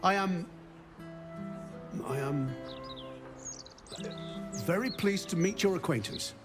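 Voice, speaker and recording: A man's voice: sits high, takes it slowly, music in the background. An actor playing an amiable, befuddled man with lost memories in a video game, rambling and trailing off wistfully. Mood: vague, polite. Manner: rambling, absent-minded, trailing off.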